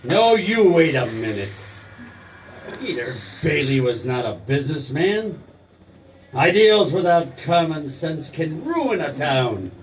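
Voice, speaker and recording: An actor playing a cold, scornful old tycoon villain in a radio drama, commanding and deliberate. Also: male voice, a little high, big pitch swings. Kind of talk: old-time radio drama stage acting. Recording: noisy stage recording from far off.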